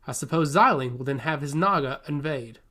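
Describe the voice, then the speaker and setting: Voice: mid-range voice, brisk, bright, forceful tone. A narrator voicing an arrogant young male envoy in a fantasy story, formal, imperious and coolly threatening. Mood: cold menace.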